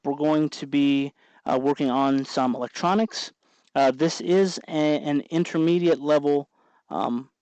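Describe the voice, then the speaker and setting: A man hosting an informal electronics tutorial, explaining things off the cuff in a halting way as he thinks aloud. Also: a little high, darkish tone, clean tone, no echo, low-quality recording. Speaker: host of an electronics tutorial show. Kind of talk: unscripted hands-on tutorial narration.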